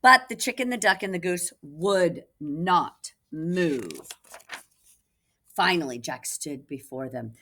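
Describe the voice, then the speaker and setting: A woman reading a picture book aloud for children in an animated way, with asides to listeners. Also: on the low side, slow pace, a little hiss or hum. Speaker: children's storybook reader, teacher. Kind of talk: expressive read-aloud for children.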